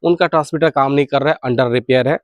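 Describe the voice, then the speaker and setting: A man's voice: mid-range voice, steady tone, a little fast, no echo, studio quality. A man with an Indian accent giving an informal update to viewers as a channel host, explaining and rephrasing his points as he goes. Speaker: video channel host sharing radio hobby news.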